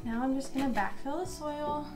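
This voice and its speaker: A woman's voice: high-pitched, normal speed, reverberant. A young woman in her twenties chatting casually about her houseplants, gushing and laughing often, recorded at home. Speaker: plant vlogger. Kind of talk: casual vlog chat. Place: bedroom with houseplants, indoors. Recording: background music under voice.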